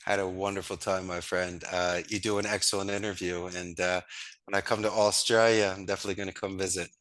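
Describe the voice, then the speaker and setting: A man tells stories with enthusiasm and homespun sayings. His voice sits a little low yet sounds bright and forward. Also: level tone, fast talker. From Northeast Philadelphia, USA. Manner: enthusiastic, storytelling, folksy sayings. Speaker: guest, call center founder. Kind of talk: casual interview talk.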